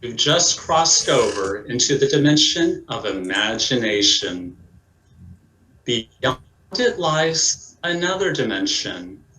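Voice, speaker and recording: Slow, bright-voiced male narrator in echoey room. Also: mid-range voice. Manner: deliberate, theatrical. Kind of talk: scripted dramatic narration. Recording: echoey room, faint noise.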